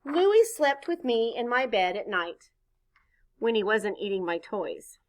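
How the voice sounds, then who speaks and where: A woman reading a children's story aloud expressively, pausing to chat with her listeners. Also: on the high side. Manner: expressive, pauses to point things out and ask questions. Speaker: storybook reader.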